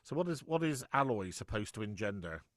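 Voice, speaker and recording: A man's voice: fairly low pitch, sing-song, a little fast, fairly bright tone, no echo, clean studio sound. A middle-aged man hosting an interview, chatty and rambling with frequent stumbles and restarts. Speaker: host. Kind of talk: casual interview chat.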